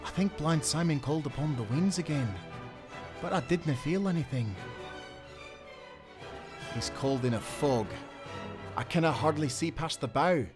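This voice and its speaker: A man's voice: a little high, moderate pace, pauses often, lightly acted. A man playing a loyal, salty sailor in a nautical audio drama, protective and urgent, with dramatic seafaring turns of phrase. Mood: alarmed, protective. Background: music.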